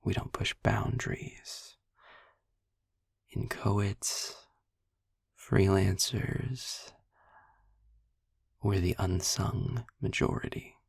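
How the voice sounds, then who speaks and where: A man playing a sarcastic, world-weary demon in an intimate audio roleplay, speaking deliberately and wryly in a breathy, husky near-whisper. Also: low voice, big pitch swings, dry recording, clean studio sound, heavily acted. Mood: wry, musing. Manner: sardonic, deliberate, wordy. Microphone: close mic, dry.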